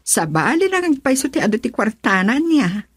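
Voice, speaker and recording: A woman's voice: fairly high pitch, big pitch swings, normal speed, slightly rough, no room sound, studio quality. A woman playing a persuasive, eager young married daughter in an Ilocano radio drama, with a Filipino accent.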